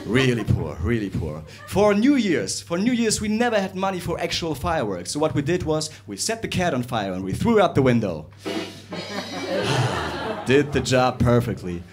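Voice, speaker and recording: Young man doing club stand-up, very lively pitch, chatty and animated. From Russia. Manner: animated, conversational, jumps between fast and drawn-out delivery. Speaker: stand-up comedian. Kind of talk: stand-up comedy set. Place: comedy club with live audience.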